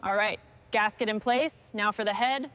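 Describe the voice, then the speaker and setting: A woman's voice: medium pitch, moderate pace, fairly bright tone. A woman giving short scripted instructions and remarks as a mechanic on a restoration job.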